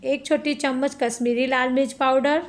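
A woman's voice: sits high, steady tone, normal speed. A woman hosting a cooking channel, chatting step by step through a recipe in a home kitchen and calling her viewers friends.

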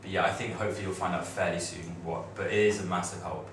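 A man's voice: sits low, fairly even intonation, fast talker, fairly bright tone. A young man chatting loosely to fellow students in a classroom, full of fillers and restarts. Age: young adult. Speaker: student on a study-abroad panel. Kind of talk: casual informal talk to students. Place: classroom with projector screen. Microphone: far camera. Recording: echoey room, distant mic, noisy.

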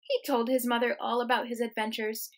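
A young woman, a teacher reading a children's storybook aloud, expressive and explaining things simply for young listeners. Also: on the high side, a little fast. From United States. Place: small room at home, indoors.

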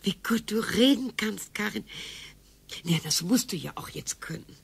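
A woman playing scolding wife Hilde, breathy. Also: mid-range voice, lively intonation, measured pace, full character voice. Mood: wistful. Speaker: radio drama actor. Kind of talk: amateur radio play reading.

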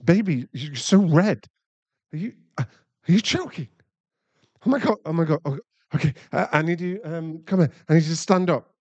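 British male, acting, caring young boyfriend for ASMR roleplay; soft-edged mellow tone, close dry sound. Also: a little high, lively intonation, moderate pace, dry recording, highs cut off. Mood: panicked, pleading. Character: caring young boyfriend, chatty and easily flustered.

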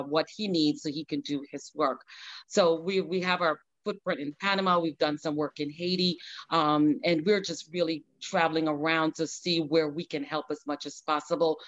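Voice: medium pitch, fairly bright tone, no echo. A Guyanese-accented bishop talks earnestly on a panel, with plenty of ums along the way. The voice comes over a video call, sounding dull and lo-fi in a dry, close space. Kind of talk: casual panel talk.

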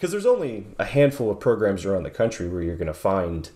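A man in his forties from southeastern New York, a bass player as a guest, talking thoughtfully over a video call from a home room, with many restarts. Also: sing-song, fast, warm tone. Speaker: guest bassist. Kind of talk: casual interview. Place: home room with guitars on wall.

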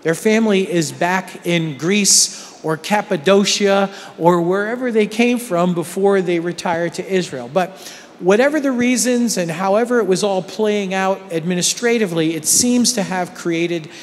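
High-voiced man teaching in a church hall. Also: normal speed, a little hiss or hum. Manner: conversational, often checks in with "right?". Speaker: Bible class teacher. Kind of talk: Bible study lecture. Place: large church sanctuary with seated class.

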